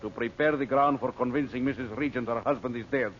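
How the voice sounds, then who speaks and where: An actor playing an imperious, scheming master villain in an old radio serial, speaking in formal, commanding orders. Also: male voice, mid-range voice, fast, natural voice. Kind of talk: 1940s radio serial acting. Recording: muffled, noisy old radio recording.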